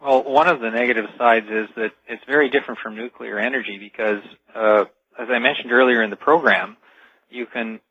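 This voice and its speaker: A man's voice: medium pitch, steady tone, fairly quick, slightly rough, dry recording. A man speaking as an expert radio guest, explaining technology informally in dull, lo-fi interview audio.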